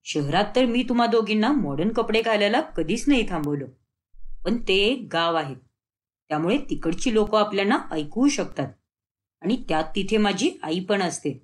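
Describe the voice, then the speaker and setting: A woman's voice: medium pitch, expressive, moderate pace, natural voice. A woman voicing a stern, weary middle-aged husband and father in a cartoon story, firm and admonishing.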